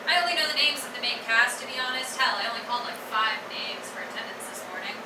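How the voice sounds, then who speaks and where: A woman voicing a jaded, blunt, indifferent high school teacher in a staged reading of a musical. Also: quick pace, close to an everyday voice. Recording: distant, echoey, very noisy live stage recording.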